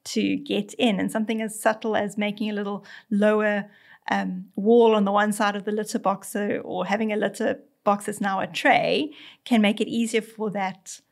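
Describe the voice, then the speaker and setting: A woman's voice: mid-range voice, little pitch movement, moderate pace, creaky. A female veterinarian with a South African accent explaining cat behaviour in a podcast interview, often speaking as the cat in first person and restarting her sentences. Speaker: veterinarian guest. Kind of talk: conversational expert explanation.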